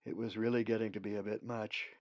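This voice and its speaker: A man's voice: fairly low pitch, flat delivery, quick pace, a little mellow, no echo, highs cut off, lightly acted. A man voicing a curious young male raven, asking hesitant questions. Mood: puzzled.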